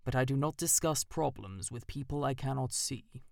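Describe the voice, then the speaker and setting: A narrator voicing a stately old male owl who speaks in a formal, measured and aloof way. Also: medium pitch, expressive, vocal fry, darkish tone, dry recording, clean studio sound. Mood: grave, formal.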